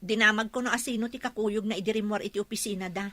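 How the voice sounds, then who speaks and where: Woman acting a jealous young wife, indignant, medium pitch, dry radio studio sound. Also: steady tone, fast talker, a bit bright, dry recording, natural voice.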